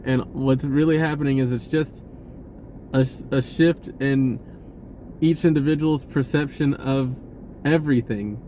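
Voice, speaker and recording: A man speaks slowly at an everyday middle pitch, halting and searching as he rambles through an informal spiritual monologue. His speech is laid-back and slangy, with repeated words. There is noticeable background noise behind him. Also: steady tone, dark tone, rough recording. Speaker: spiritual vlogger.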